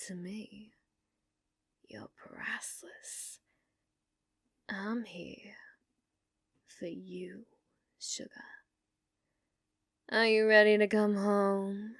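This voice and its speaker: Casting: actress as possessive yandere bounty hunter for ASMR roleplay; female, unhurried, sultry, dominant, slipping into whispers, close dry sound. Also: mid-range voice, level tone, husky, no room sound, full character voice. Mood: tender, possessive. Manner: slow, taunting, sultry, often dropping into whispers.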